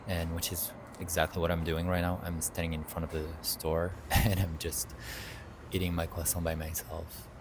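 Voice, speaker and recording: A man's voice: low-pitched, noisy background. A young man with a French accent, talking casually about food in a rambling, chatty vlog full of fillers. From France. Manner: chatty, rambling, with many fillers and self-corrections. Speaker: food vlogger. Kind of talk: casual travel vlog narration. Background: music.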